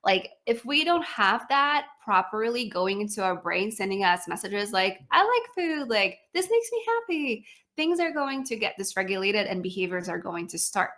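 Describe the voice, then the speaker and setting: A woman's voice: mid-range voice, sing-song, normal speed, fairly bright tone. A woman from Poland in her thirties, a feeding specialist chatting as a guest with frequent fillers and restarts. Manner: chatty, many fillers and restarts, laughs easily. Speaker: guest, pediatric speech-language pathologist. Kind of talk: casual interview answers.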